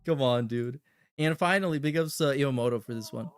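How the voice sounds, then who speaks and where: A man's voice: medium pitch, a little fast, smooth, faint music behind. A man hosting a livestream with casual, slangy, sweary commentary and a sarcastic edge. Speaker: livestream host. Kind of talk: casual chat with shout-outs and commentary.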